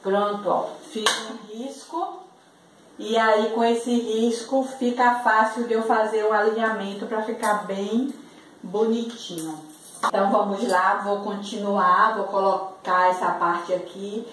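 A woman's voice: medium pitch, steady tone, unhurried, fairly bright tone. A middle-aged Brazilian woman giving a casual, informal how-to demonstration, explaining step by step as she works in a home kitchen. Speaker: vlog host demonstrating DIY. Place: small tiled home kitchen. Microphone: camera mic at a distance. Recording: echoey, distant, faint room noise.

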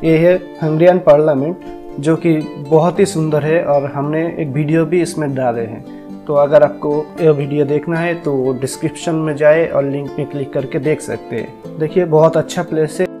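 A man's voice: fairly high pitch, fairly even intonation, normal speed, music in the background. A man giving casual narration for a travel vlog as its host.

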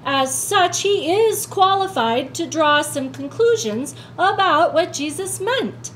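A woman plays a brisk prosecutor grilling a witness in a radio play. Her voice is high, with a faint hiss behind it. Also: animated, heavily acted. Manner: brisk, questioning. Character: brisk female courtroom prosecutor.

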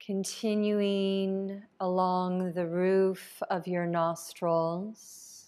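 A woman leading a guided meditation as a yoga nidra teacher, calm and unhurried, leaving long pauses between phrases. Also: on the low side, clean tone.

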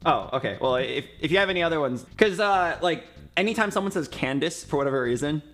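A man's voice: on the high side, expressive, fast talker, fairly bright tone. A man in his twenties hosting a casual live stream, chatting at his desk in a small room. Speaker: streamer host. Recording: game music under the voice. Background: video game music.

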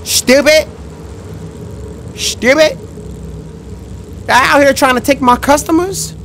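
A young man commentating a horror game in a loud, excitable, trash-talking way, his voice going hoarse, recorded on a headset mic at a home setup. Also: very high voice, slow pace, slight background noise. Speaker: gaming streamer.

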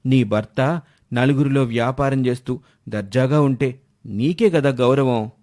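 A man's voice: medium pitch, a little slow, darkish tone, lightly acted. A man voicing an eager, ambitious young married son in a moral story, earnest and wheedling. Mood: pleading. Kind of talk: animated moral story dialogue.

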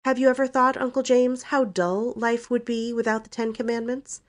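A woman voicing a brazen, sharp-tongued young woman in a storybook, speaking boldly and emphatically. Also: on the high side, steady tone, normal speed, voice pushed up, close to an everyday voice. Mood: brazen, cool. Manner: bold, emphatic.

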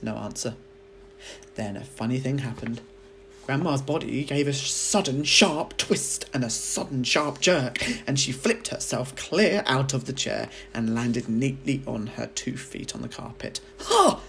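A man reading a children's story aloud like a teacher, with expressive narration. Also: mid-range voice, unhurried, gravelly, a little hiss or hum.

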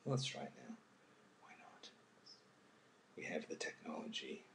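Deep-voiced older man musing as he works. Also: lively intonation, creaky, in a whisper. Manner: halting, thoughtful, often trailing off to a murmur. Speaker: host of a live drawing session. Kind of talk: unscripted casual talk while drawing. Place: home kitchen, indoors. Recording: roomy echo, faint background noise.

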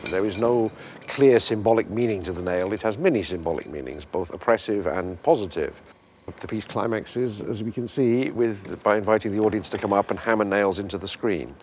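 A man's voice: lowish voice, a little slow, a little mellow, slight background noise. A man giving explanatory, emphatic interview commentary as an art expert.

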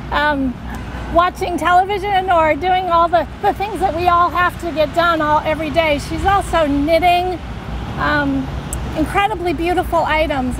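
A woman giving a congratulatory speech at an outdoor podium, soft-spoken and halting, with restarts. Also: sits high, background noise. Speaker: volunteer program director. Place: outdoors at a podium in front of a brick building. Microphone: podium mic.

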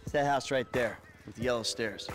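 A man's voice: mid-range voice, brisk, over music, lightly acted. An actor playing a laid-back male bystander in casual video game dialogue.

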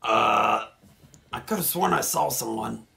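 A man voicing a wary, grumbling alien rebel sidekick in an improvised game fandub, gravelly and strained, casual and dry. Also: mid-range voice, expressive, slow pace, bright, forceful tone, heavily acted. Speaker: amateur fan-dub voice actor.

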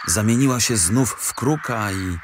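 A man narrating a fairy tale from a script in an expressive, dramatic storytelling manner. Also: on the low side, measured pace, noisy background. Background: music.

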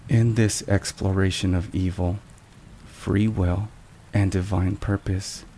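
Slow, low, hushed man over rain. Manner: hushed, measured, solemn delivery. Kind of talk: soft ASMR devotional scripted narration. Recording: rain and thunderstorm noise behind. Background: steady rain and thunder.

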